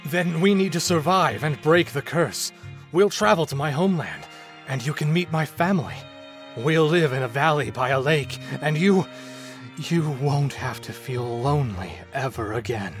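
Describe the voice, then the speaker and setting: Gothic game role, male actor: cursed werewolf man, gentle and solemn, devoted, music beneath. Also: on the high side, lively intonation, normal speed, natural voice. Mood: hopeful, earnest. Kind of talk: dramatic visual novel voice acting. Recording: music under the voice.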